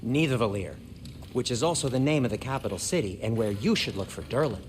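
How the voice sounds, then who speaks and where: Man playing fussy, animated dwarf craftsman. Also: medium pitch, quick pace, slight background noise, lightly acted. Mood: dry, sardonic. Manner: sardonic, fussy, excitable. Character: fussy, dry-witted male dwarf craftsman. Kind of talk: video game character acting.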